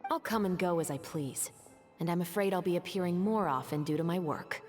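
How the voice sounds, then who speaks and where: Woman as cool determined game captain lady, slightly low voice, measured and firm, music behind. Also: a little mellow, natural voice. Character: composed, determined young female patrol captain. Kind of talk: dramatic video game voice acting.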